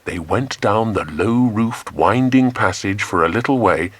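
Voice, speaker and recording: Low, expressive English male storyteller. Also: slightly rough, no echo. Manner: dramatic, measured storytelling. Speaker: audiobook narrator. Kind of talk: expressive scripted children's story narration.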